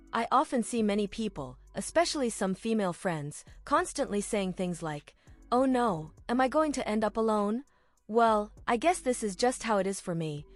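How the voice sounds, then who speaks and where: A woman voices a translated clip in an earnest, confiding tone. Her voice sits at an ordinary middle pitch. Faint music plays behind her. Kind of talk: translated voice-over narration.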